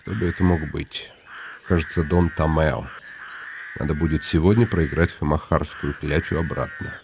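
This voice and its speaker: A man's voice: a bass voice, fairly even intonation, moderate pace, gravelly, noisy background. A man playing a weary, ironic nobleman in reflective inner monologue for a radio play. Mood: musing. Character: weary, ironic, lonely nobleman, brooding inwardly. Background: music.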